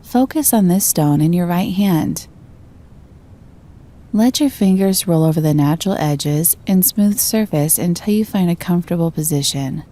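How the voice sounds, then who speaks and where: Mellow, low-voiced woman guiding meditation. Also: normal speed. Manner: gentle, calm, soothing delivery. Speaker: meditation guide. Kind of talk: scripted guided meditation narration.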